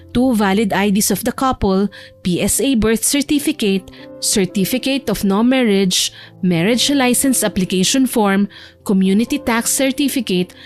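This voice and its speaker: A woman's voice: mid-range voice, a little mellow. A woman narrator reading a scripted romance story in audiobook style. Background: faint music.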